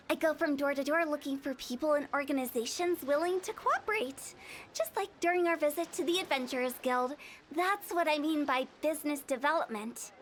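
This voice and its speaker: A woman's voice: sits high, fairly bright tone. A woman playing a quirky, eager young female funeral parlor director in a video game, with a playful, salesy confidence. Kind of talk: video game character acting.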